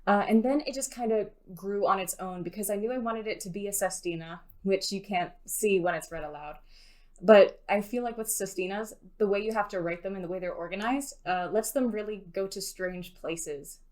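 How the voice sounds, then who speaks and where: A woman's voice: medium pitch, moderate pace, darkish tone. A woman giving casual, conversational answers as an interviewed poet.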